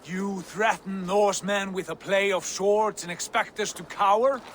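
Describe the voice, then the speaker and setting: An actor playing a proud, commanding Viking jarl in a video game, speaking in a stately, declamatory way. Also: male voice, high-pitched, fairly even intonation, a little slow, a bit bright, a little hiss or hum. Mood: defiant, commanding. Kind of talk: cinematic video game acting.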